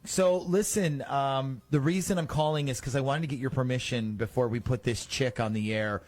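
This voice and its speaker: A man's voice: medium pitch, fairly even intonation, moderate pace, soft background music. Smug teasing radio prank host man.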